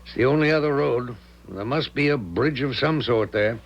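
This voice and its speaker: Genteel papa, played by a male actor: middle-aged, creaky, theatrical radio-play delivery, muffled noisy recording. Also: mid-range voice, normal speed, natural voice. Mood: exasperated, indulgent. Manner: formal, theatrical. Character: genteel middle-aged American father, practical and exasperated. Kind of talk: 1970s radio drama acting and narration.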